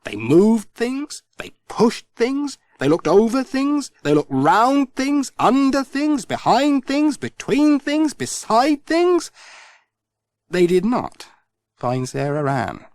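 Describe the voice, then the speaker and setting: A man narrating a children's storybook as an audiobook reader, in an expressive storytelling manner. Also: very high-pitched, takes it slowly, no room sound.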